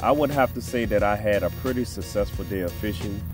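A man's voice: on the low side, brisk, over music. A man giving casual, laid-back, folksy commentary to viewers as a fishing vlogger, outdoors on a boat in a marsh.

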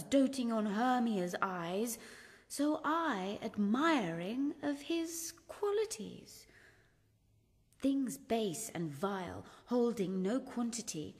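An actress with a British accent playing a lovesick, spurned young noblewoman, speaking measured theatrical verse in a Shakespearean radio drama. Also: fairly high pitch. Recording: long hall-like reverb.

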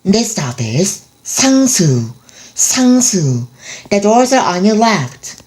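A woman's voice: mid-range voice, very animated, very slow, darkish tone. A woman reading scripted station stops as a subway announcer.

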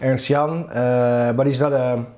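A man's voice: mid-range voice, flat delivery, slow, mellow. A Dutch man in his thirties, a guest telling stories in a casual interview, talking loosely with lots of fillers and restarts, seated in a living room. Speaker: guest, onboard reporter. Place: living room at a dining table.

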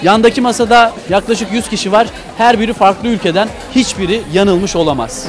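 Enthusiastic young male reporter, high voice. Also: a little fast. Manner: enthusiastic, presenting. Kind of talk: on-location TV reporting. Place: busy restaurant. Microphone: handheld mic. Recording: noisy restaurant with music. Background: music and crowd chatter.

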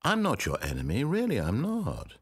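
A man's voice: medium pitch, huge pitch swings, moderate pace, vocal fry, breathy edge, no room sound, studio quality, exaggerated voice. An actor playing a smug, mock-polite male narrator of a video game, speaking with sardonic theatrical wit. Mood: wry.

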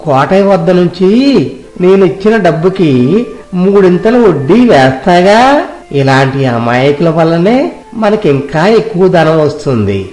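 A man's voice: a little high, animated, normal speed, a little mellow, close to an everyday voice. A man playing a miserly, scheming village moneylender in a story, wheedling and condescending with emphatic delivery. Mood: gloating. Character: miserly, scheming village moneylender husband, smooth-talking and greedy. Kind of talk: scripted Telugu moral story dramatization. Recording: roomy echo, music behind.

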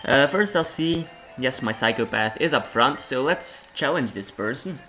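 A young man from Belgium with a cold and a hoarse voice, rambling through casual let's-play game commentary from home and laughing at his own jokes. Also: mid-range voice, animated, normal speed, sharp tone, soft background music. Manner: rambling, self-deprecating, laughs often.

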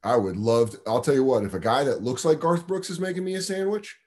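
A man trading crude jokes on a comedy podcast, animated and laughing, speaking into a studio mic over a video call. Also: medium pitch, quick pace. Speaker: comedian guest.

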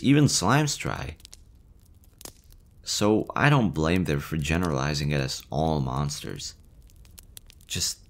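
A man voicing a nervous, gentle young male slime monster in an audio roleplay, talking softly and hesitantly. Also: low voice. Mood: earnest. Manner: soft, hesitant, chatty.

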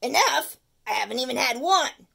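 A woman doing a loud greedy talking tooth. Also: high-pitched, lively intonation, moderate pace, creaky, breathy edge, heavily acted. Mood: pushy. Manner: loud, pushy, wheedling. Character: greedy wisecracking male sweet tooth.

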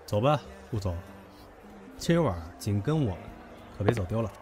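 A man's voice: lowish voice, lively intonation, moderate pace, heavy background noise, close to an everyday voice. An actor playing a brash, possessive, hot-tempered young man in an audio drama, goading and domineering. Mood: taunting. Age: young adult. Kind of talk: Chinese audio drama acting. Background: background music.

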